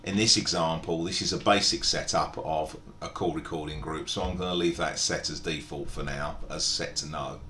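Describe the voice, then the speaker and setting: Deep-voiced man giving an echoey software tutorial. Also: steady tone, normal speed, hardly any pauses. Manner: methodical, explanatory. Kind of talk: informal step-by-step screen tutorial narration. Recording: echoey room, background hiss and rumble.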